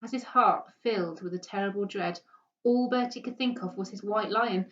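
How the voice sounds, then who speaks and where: Lady reading a story out loud expressively, bit muffled and far from the mic. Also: medium pitch, normal speed. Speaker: teacher reading a story aloud. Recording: dull, distant microphone.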